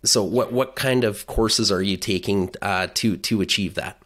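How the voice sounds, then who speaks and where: A man's voice: fairly low pitch, normal speed, fairly bright tone. A man hosting a podcast who asks a guest short, curious questions. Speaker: podcast host. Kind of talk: interviewing a guest.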